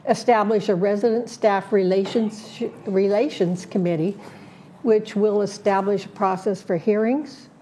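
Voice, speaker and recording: A woman serving as a community board director, laying out her plans in an interview, listing points slowly with many fillers and restarts. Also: medium pitch, dark tone, slight background noise. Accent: Southern Californian. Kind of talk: prepared statement in an interview.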